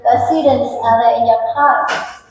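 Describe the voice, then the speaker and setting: Woman, Vietnamese accent, young teacher, slow patient prompting, headset mic, echoing classroom. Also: on the high side, fairly even intonation, distant mic, soft background music. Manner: patient, prompting, repeats questions. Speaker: English teacher examining students. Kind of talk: speaking test questions. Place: classroom, indoors. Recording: very echoey classroom, faint noise.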